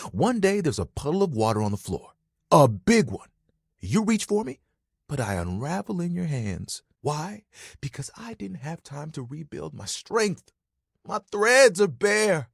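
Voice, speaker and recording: Bashful young towel guy, dating game character, punning and rambling, husky edge, dry close recording. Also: high-pitched, dry recording, heavily acted. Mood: dramatic, fretful. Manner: rambling, self-conscious, casual, punning. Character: talking bath towel, bashful, eager, laid-back young guy. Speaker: video game voice actor.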